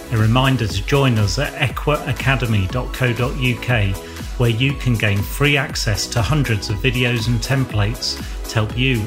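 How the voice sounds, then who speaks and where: A man hosting a podcast, reading a scripted intro and then asking interview questions in a polite, welcoming way. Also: mid-range voice. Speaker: podcast host. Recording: roomy, distant mic with faint music.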